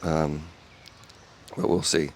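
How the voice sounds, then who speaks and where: A man giving a casual update video from his home garage, talking quietly in a monotone with many ums and restarts, on a small clip-on mic. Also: rumbling low, takes it slowly, a little hiss or hum. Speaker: home car restorer. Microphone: small clip-on wireless mic.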